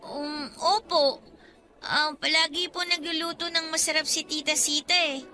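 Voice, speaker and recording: High, bright little schoolgirl. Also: level tone, a little slow. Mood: hesitant, evasive. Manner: polite, hesitant when questioned. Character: young schoolgirl niece, sweet, polite, hiding hurt. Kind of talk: radio drama acting in Tagalog.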